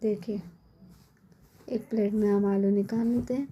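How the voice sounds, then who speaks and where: A middle-aged woman with a hoarse, cold-worn voice, chatting casually as she explains a home recipe step by step in her kitchen. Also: medium pitch, fairly even intonation, unhurried, dark tone, muffled recording. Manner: chatty, explains step by step with frequent tag questions. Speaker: cooking channel host. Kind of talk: casual cooking tutorial.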